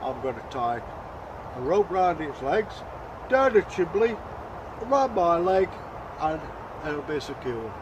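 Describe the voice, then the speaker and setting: A man's voice: fairly high pitch, sing-song, a little slow, long pauses. A man voicing a stubborn old farmer, matter-of-fact and sure of himself, recorded outdoors with traffic noise behind.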